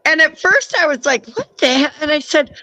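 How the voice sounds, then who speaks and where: A woman telling a candid story on a casual talk show, halting and laughing often. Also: high-pitched, lively intonation, bright, forceful tone, no room sound, low-quality recording. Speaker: show regular.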